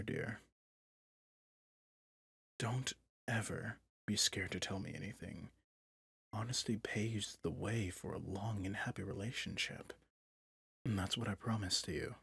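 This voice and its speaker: An actor playing a possessive, manipulative villain prince in an ASMR roleplay drama, speaking softly in measured, courtly phrases with a breathy, husky voice. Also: male voice, fairly low pitch, no echo, clean studio sound, full character voice. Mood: possessive, coaxing.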